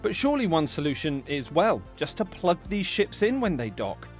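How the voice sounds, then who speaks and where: A man narrating a news report as the reporter, inquisitive and lively. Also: on the high side, moderate pace, over music.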